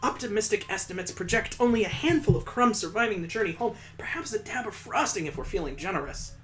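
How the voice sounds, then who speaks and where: A man's voice: high-pitched, expressive, quick pace, fairly bright tone, soft background music, pitched above the performer's own voice. A man voicing a precocious nine-year-old girl who narrates a visual novel in wordy, formal language. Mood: dry.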